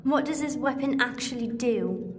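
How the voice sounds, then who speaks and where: An actress playing a grieving, headstrong companion to a time traveller in an audio drama, emotional and confronting. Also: female voice, high voice, normal speed, a little hiss or hum, close to an everyday voice. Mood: questioning. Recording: long reverb with music underneath.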